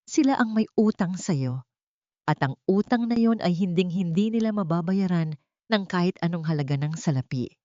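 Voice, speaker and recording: A woman's voice: fairly low pitch, lively intonation, normal speed, mellow, no room sound, lightly acted. Lady reading as a devoted guy in love, audiobook romance, earnest and protective, dry muffled sound. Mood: firm, reassuring.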